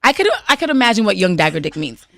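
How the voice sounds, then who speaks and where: A woman's voice: medium pitch, big pitch swings, fast, a bit bright, no room sound. A woman hosting a radio interview in the studio, asking direct questions with a teasing edge. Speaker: radio host.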